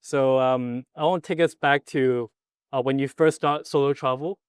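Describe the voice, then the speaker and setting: Host guy, mid pitch, lots of um and like, super dry room. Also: steady tone, moderate pace, clean tone, no room sound. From Hong Kong. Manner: hesitant, lots of fillers and restarts while framing questions. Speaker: podcast host. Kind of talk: interview questions.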